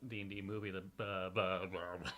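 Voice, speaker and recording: A man's voice: deep voice, little pitch movement, brisk, noisy background. A man playing a tabletop role-playing game in a casual, joking style.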